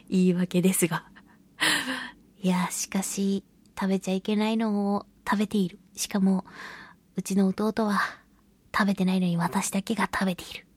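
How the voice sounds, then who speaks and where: A woman's voice: mid-range voice, steady tone, a little slow, pauses often, a little mellow, breathy edge. A woman hosting a radio talk show, chatting casually about her own life in a self-deprecating, giggly, storytelling way. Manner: acts out little quoted remarks while storytelling. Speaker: voice actress. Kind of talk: casual solo radio chat, telling personal anecdotes.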